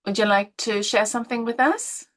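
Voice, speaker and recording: Gentle Irish woman hosting a webinar. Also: on the high side, normal speed. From Ireland. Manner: gentle, encouraging, drops to soft asides. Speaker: webinar host and women's coach. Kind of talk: live webinar talk to participants.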